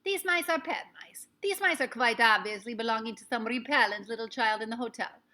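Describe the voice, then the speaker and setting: A woman's voice: on the high side, fairly quick, sharp tone, pitched above the performer's own voice. Woman doing a barking, imperious witch queen. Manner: barking, haughty commands. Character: commanding female witch leader, cruel, imperious. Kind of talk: storybook reading aloud.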